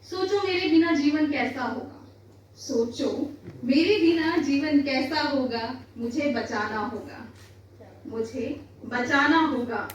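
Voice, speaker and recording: A woman's voice: sits high, takes it slowly, muffled recording. A woman reciting poetry in a declamatory manner, repeating lines for emphasis. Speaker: poet reciting own poem. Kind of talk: Hindi poetry recitation before listeners. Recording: roomy echo, distant mic, faint noise.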